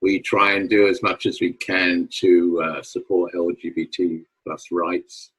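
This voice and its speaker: Polite deep husky male interviewer. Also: monotone, a little slow, fairly bright tone, low-quality recording. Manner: polite, hesitant with many "uh"s. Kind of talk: casual interview.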